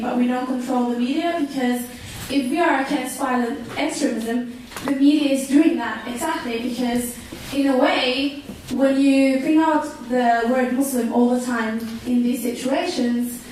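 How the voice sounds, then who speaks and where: A woman's voice: fairly high pitch, a little mellow, slight background noise. A woman in the audience making a hesitant comment, searching for words as she goes. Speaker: audience member. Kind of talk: audience question. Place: university seminar hall. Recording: very echoey hall, far from mic.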